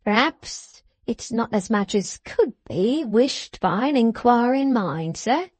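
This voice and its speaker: A woman's voice: a little high, expressive, takes it slowly, husky, no echo, pitched above the performer's own voice, full character voice. A woman doing a fawning, self-important old man. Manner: fawning and deferential, clipped "sir" endings. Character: obsequious, self-important older man with a wooden leg.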